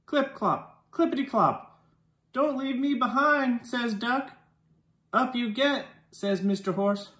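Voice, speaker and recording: Storytime reader for young children: unhurried, expressive with dialogue, recorded in an echoey living room. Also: medium pitch, slight background noise. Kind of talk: children's picture book read-aloud. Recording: roomy indoor echo.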